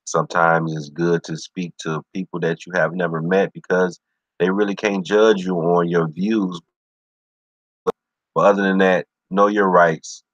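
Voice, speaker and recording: A man's voice: low-pitched, flat delivery, unhurried, no room sound, muffled recording. A man hosting his own livestream show, talking loosely and encouragingly, constantly saying "you know what I'm saying". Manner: easygoing, encouraging. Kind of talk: casual livestream talk.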